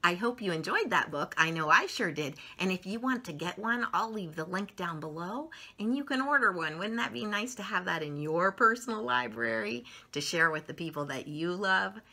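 A middle-aged woman reading a story aloud for children, expressively and with drawn-out emphasis on words. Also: medium pitch, animated, vocal fry, sharp tone. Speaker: children's story channel host reading picture books aloud. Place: small furnished room at home, indoors.

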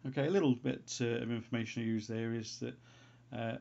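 A man giving an unscripted how-to voice-over as a hobbyist presenter, in a halting way, as if thinking aloud. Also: mid-range voice, steady tone, vocal fry, darkish tone. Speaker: hobbyist presenter of a homebrew antenna tutorial. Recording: dull, lo-fi sound.

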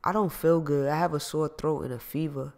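A man reading an audiobook, voicing a jealous, possessive ex-boyfriend who accuses and shouts. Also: on the high side, fairly even intonation, fairly quick, vocal fry. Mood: sulky. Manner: loud, accusing, streetwise.

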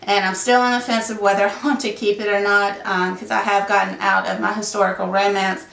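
A woman's voice: mid-range voice, vocal fry, pushed and bright. A woman in her thirties with a sinus cold, chatting casually about the books she read this month, rambling with many ums and self-corrections. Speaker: book vlogger. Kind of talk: casual book wrap-up chat. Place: home room with bookshelves behind. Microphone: camera a little way off. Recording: roomy echo, mic a bit distant.

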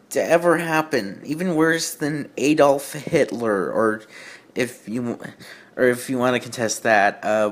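A man hosting a reading show with sarcastic, rambling commentary in an exasperated, self-deprecating manner. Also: medium pitch. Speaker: host of a bad fan fiction reading show.

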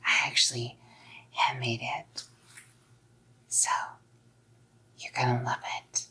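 A young woman playing an obsessive, menacingly sweet psycho girlfriend in a whispered ASMR roleplay, cooing and softly threatening. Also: very deep voice, flat delivery, takes it slowly, breathy edge, full character voice. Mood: sweetly excited. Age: young adult. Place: small dim room indoors. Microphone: close ASMR mic.

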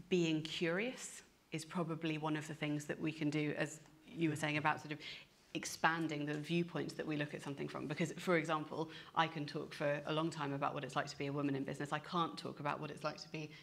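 An animated woman in her thirties with a low voice speaks on a business panel. She gestures as she talks into a lapel mic in a university hall. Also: creaky. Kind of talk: panel discussion.

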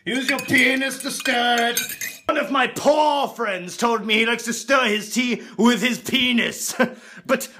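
A man's voice: very high-pitched, a little slow, background noise. A man playing a pompous, crude rich Englishman with a mustache and monocle, in a comedy sketch video.

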